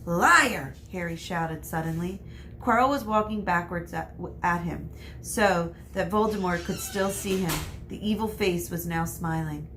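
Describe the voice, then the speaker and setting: A woman reading a children's novel aloud like a teacher, with expressive narration. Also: fairly low pitch, a little slow, slight background noise, low-quality recording. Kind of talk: expressive scripted story narration.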